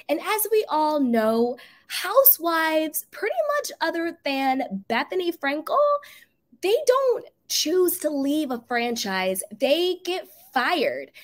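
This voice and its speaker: A woman whose voice is raspy from being sick, giving sassy, emphatic celebrity gossip commentary on her channel. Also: high voice, fairly bright tone. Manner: sassy, emphatic, drawn-out stresses. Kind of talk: casual gossip commentary to camera.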